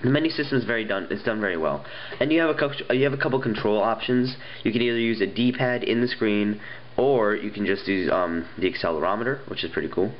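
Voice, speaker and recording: A man's voice: on the low side, fast, highs cut off, a little hiss or hum. A young man with a stuffy nose chatting casually and rambling as he reviews phone games at a table, with plenty of ums and restarts. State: stuffy nose, getting sick. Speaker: app reviewer. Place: indoors at a wooden table.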